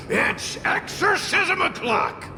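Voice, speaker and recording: A hot-tempered little fire spirit in a video game, cocky and theatrical. The voice is heavily gravelly and fairly high for a male, swinging wildly in pitch, and it takes its time over the words with music underneath. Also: noisy background, exaggerated voice.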